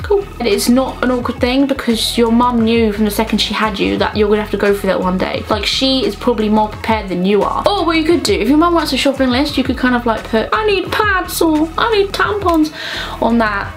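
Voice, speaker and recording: A young adult woman chatting casually and frankly to camera, animated and jokey as she answers questions. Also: fairly high pitch, a little fast, faint music behind. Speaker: vlogger answering viewer questions. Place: small bedroom, indoors.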